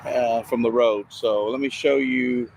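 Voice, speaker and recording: A man narrating casually on location as a video streamer, speaking haltingly with pauses between phrases. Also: medium pitch, steady tone, a little mellow. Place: outdoors by a road and woods. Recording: outdoor noise, distant freeway. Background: freeway and ambient outdoor noise.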